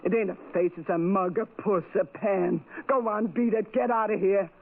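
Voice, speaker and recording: An actress playing a brassy, mercenary young carnival performer in an old radio drama, with slangy, hard-boiled speech. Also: female voice, medium pitch, moderate pace, close to an everyday voice. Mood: scornful, mocking. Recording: noisy, narrow-band old radio recording.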